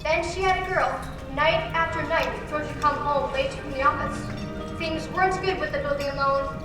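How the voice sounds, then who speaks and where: A woman's voice: high voice, normal speed, natural voice. A young woman acting as the storytelling heavenly narrator of an old-fashioned radio play, reading scripted narration. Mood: solemn, storytelling. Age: young adult. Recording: echoey live stage, distant mic, music behind.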